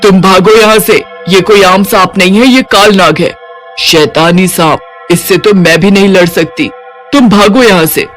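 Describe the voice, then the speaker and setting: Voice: mid-range voice, normal speed, a bit bright, faint music behind, natural voice. A performer voicing a hungry, blunt, boastful but secretly kind-hearted cartoon witch with brash, colloquial delivery. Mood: alarmed. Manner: brash, taunting, colloquial scolding. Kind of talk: Hindi cartoon character voice.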